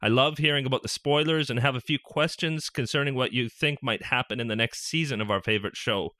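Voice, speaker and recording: A man's voice: mid-range voice, brisk, bright, forceful tone, no room sound, studio quality. A man from Canada hosting a fan podcast, reading out listener emails and chatting. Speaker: podcast host.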